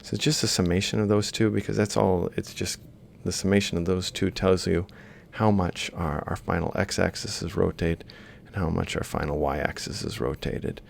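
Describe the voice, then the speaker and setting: A man lecturing in a deep voice heavy with vocal fry. He explains things methodically, one step at a time, as if thinking aloud while he writes. There is some rumbly noise behind him. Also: fairly even intonation, normal speed, hardly any pauses. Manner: explanatory, step by step, reciting values piecemeal. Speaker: university lecturer. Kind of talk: instructional screen-capture lecture, thinking aloud while writing.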